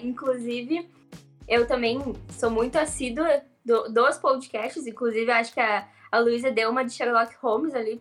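A woman's voice: on the high side, a bit bright. A woman, an enthusiastic guest on a casual podcast. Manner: enthusiastic, effusive.

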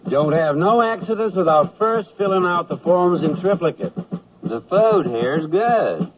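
An actor playing a dry, wisecracking army soldier in a radio comedy. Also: male voice, sits high, lively intonation, a little slow. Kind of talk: 1970s radio comedy acting. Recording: muffled, noisy radio recording.

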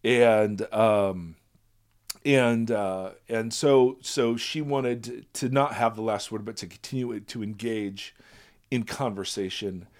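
A man hosting a podcast in casual chat, his voice raspy from a virus, rambling and self-deprecating, often laughing. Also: fairly low pitch, fairly even intonation, slow. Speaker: podcast host.

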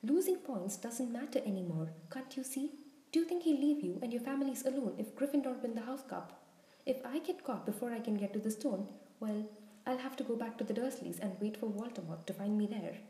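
Casting: female narrator as earnest, defiant schoolboy hero, urgent delivery, mellow tone, roomy sound. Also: medium pitch, brisk, close to an everyday voice. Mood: angry, defiant. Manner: urgent, insistent.

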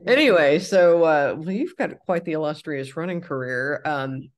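A man's voice: on the high side, lively intonation, moderate pace. A man in his sixties from the Midwest, hosting a casual interview in a friendly, agreeable way with many fillers. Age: 60s. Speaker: interview host.

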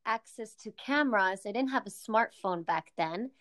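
A woman's voice: mid-range voice, expressive, moderate pace, a bit bright. A woman in her thirties, from the Czech Republic and raised in New York, talking casually as a guest over a remote call, friendly and full of fillers and restarts. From Czech Republic, raised in New York City. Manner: friendly, lots of fillers and restarts, laughs easily. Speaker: guest, co-founder of a media consultancy. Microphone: remote call audio.

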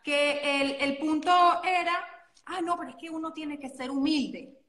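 A Venezuelan woman, a guest coach and model, talking in a chatty, animated way and often correcting herself mid-sentence. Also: sits high, measured pace, reverberant, background noise. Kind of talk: casual interview chat.

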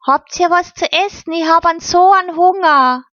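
A woman's voice: very high-pitched, moderate pace, fairly bright tone, dry recording, pitched above the performer's own voice. A woman reading the part of a hungry, cheerful boy who cracks jokes in a matter-of-fact way. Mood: lively. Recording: slightly muffled recording.

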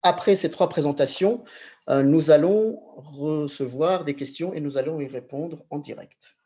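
A man moderating a public panel, introducing the speakers in a measured way with frequent hesitations. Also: fairly high pitch, mellow, dull audio.

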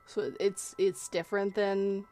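A woman's voice: mid-range voice, level tone, measured pace, mellow, faint music behind. A woman with a Canadian accent chatting casually and jokingly on a livestream.

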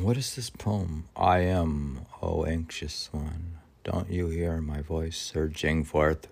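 A man with a very low, gravelly voice hosts a poetry reading. He moves between verse and rambling casual remarks. His musing is halting and unhurried, often questioning himself. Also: warm tone. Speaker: podcast host reading poetry.

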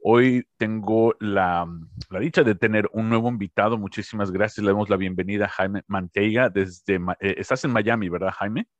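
Low-voiced male podcast host, dry muffled sound. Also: steady tone, normal speed, hardly any pauses, dry recording. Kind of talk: conversational podcast interview. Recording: slightly dull, lo-fi audio.

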